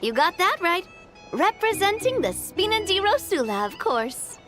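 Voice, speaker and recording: A woman's voice: very high voice, very animated, normal speed, a bit bright, heavily acted. A woman voicing a confident, friendly young gang boss in a video game, playful and nonchalant. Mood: warm, playful. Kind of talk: video game character acting. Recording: game music behind.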